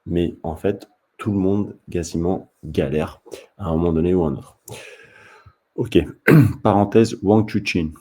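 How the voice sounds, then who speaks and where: A man who hosts his own channel, giving casual spoken commentary straight to the viewer, often halting and restarting mid-sentence. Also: sits low, a little mellow. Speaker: table tennis coach and channel host.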